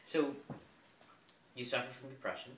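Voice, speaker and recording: A man's voice: medium pitch, normal speed, a bit bright, a little hiss or hum. A man interviewing someone, asking short, probing questions. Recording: echoey room, distant from the mic.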